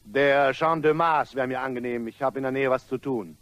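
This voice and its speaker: An actor playing an apologetic but insistent gentleman blackmailer in a radio play, formal and hesitant. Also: medium pitch, normal speed, no room sound, lightly acted. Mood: fumbling. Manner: polite, formal, hesitant, fumbling for words.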